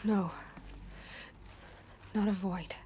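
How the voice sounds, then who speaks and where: Earnest young game heroine, mid-pitched, intense and deliberate, faint ambience behind. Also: female voice, fairly even intonation, warm tone, a little hiss or hum. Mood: hushed, grieving. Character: earnest, determined, compassionate young female warrior heroine. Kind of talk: dramatic video game dialogue.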